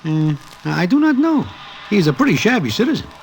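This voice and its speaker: High-pitched, streetwise Broadway storyteller. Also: male voice, very animated, brisk, slight background noise, low-quality recording. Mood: wry, storytelling. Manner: mannered present-tense slang without contractions. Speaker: narrator and character. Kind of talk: 1940s radio acting.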